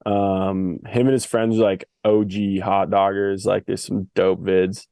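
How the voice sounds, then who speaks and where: A young man who grew up in Switzerland, chatting casually as an interview guest in a relaxed, slangy way full of fillers. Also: sits low, unhurried, clear, resonant tone, no echo, studio quality. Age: young adult. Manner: laid-back, filler-heavy, slangy. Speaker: guest, Olympic freestyle skier. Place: family home in Switzerland.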